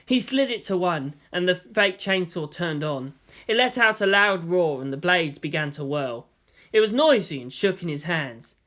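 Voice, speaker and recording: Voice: mid-range voice, expressive, moderate pace, fairly bright tone. A narrator reading a scripted story aloud as in an audiobook.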